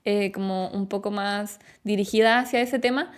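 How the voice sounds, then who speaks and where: A woman's voice: medium pitch, fairly even intonation, normal speed. A woman in her late twenties with a Chilean accent, explaining things reflectively in a casual chat as a podcast guest. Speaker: podcast guest, clinical psychologist.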